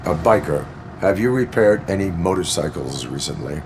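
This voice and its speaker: A stoic machine-man of the law for a video game. The voice sits very low, with clipped, formal, deadpan phrasing. There is faint noise in the background. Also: moderate pace. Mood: flat, businesslike. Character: stoic male cyborg police officer, formal and dutiful.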